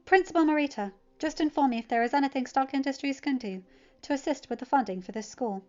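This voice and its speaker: A woman's voice: high voice, fast, voice pushed up, lightly acted. Woman voicing a poised, businesslike mother. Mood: assured, generous. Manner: polite, assured, formal. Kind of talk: audiobook character voice for fan fiction. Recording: dull lo-fi home recording.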